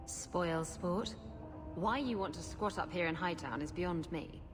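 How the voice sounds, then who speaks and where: An actress playing a teasing, easygoing companion in a video game, with a playful, casual delivery. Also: female voice, fairly low pitch, unhurried, lightly acted. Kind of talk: video game cutscene acting. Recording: long echoing hall, music behind.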